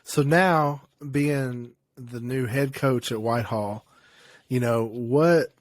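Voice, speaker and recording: A man's voice: medium pitch, takes it slowly, no room sound. Dude co-hosting, mid voice, dry close sound, keeps restarting his question. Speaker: podcast co-host. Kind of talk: casual interview chat.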